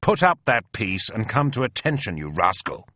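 Cavalry colonel, played by a male reader: haughty British aristocrat, curt orders, storybook character, lively intonation, dry close sound. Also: fairly high pitch, a little slow, no echo, lo-fi sound, voice pushed up, heavily acted. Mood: commanding. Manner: commanding, brusque.

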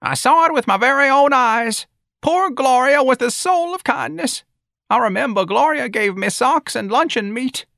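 Guy doing batty old lady in an audiobook, super high croaky squawk, cranky and indignant. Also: animated, moderate pace, sharp tone, dry recording, studio quality, heavily acted. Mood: indignant, wistful. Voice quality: croaky, piping, shrill. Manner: indignant, wild outbursts. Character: cranky, senile, very old working woman.

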